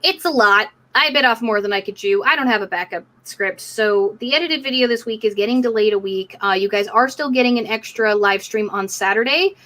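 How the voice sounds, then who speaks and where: A woman's voice: mid-range voice, fairly quick, few pauses, sharp tone. A woman hosting a live community discussion stream, speaking in an emphatic, outspoken, casual way. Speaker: livestream host.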